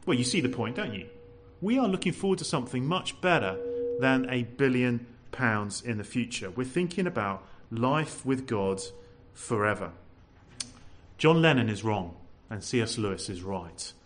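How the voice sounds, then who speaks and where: Lively male preacher. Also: mid-range voice, moderate pace, a little hiss or hum. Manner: lively, animated preaching with rhetorical questions; says he has a loud voice. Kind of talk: sermon.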